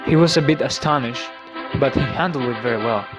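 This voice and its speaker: A man playing an earnest exiled French aristocrat, telling his story in a formal, deliberate dramatic monologue. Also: medium pitch, loud music behind the voice, close to an everyday voice. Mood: calm, reflective. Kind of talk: scripted dramatic monologue of a classic novel. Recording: faint background noise, amateur recording.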